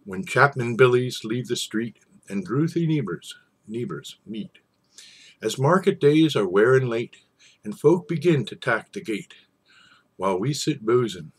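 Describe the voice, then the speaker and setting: A man with a husky voice, speaking as a storyteller and savouring his phrases with theatrical pauses. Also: on the low side, a bit bright. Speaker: storyteller and poetry reader. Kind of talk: informal introduction, then dramatic poetry recitation.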